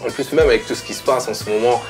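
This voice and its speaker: A man's voice: medium pitch, racing, faint music behind. A man with a French accent hosting a casual conversational interview, slangy and reflective, often repeating his words. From France. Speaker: interview host.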